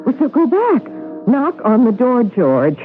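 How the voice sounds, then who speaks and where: A woman's voice: on the high side, wildly swooping pitch, unhurried, soft background music. A woman playing an eager, nosy, coaxing wife in a 1970s radio mystery, insistent and sure of her intuition. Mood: urging. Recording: muffled vintage radio broadcast, faint noise.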